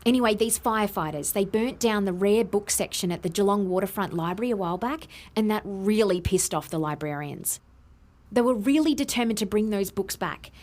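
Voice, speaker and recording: An Australian actress playing a guilty, defensive inventor who earnestly explains herself in an audio drama. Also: female voice, mid-range voice, fairly quick, darkish tone, natural voice. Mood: earnest, explaining.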